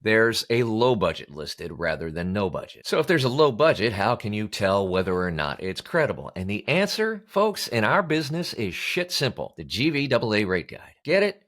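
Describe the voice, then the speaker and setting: A man's voice: lowish voice, very animated, moderate pace, hardly any pauses, fairly bright tone. A man giving scripted advice as a coach, in an emphatic, blunt, colloquial manner. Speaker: voice-over coach hosting an advice show. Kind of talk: scripted instructional talk to camera.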